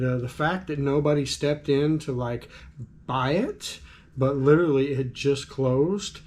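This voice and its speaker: A middle-aged man talks casually to camera in his vlog, thinking aloud with plenty of ums. His voice is raspy from a cold, set at an ordinary middle pitch. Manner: musing, frequent ums. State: has a cold. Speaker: vlogger.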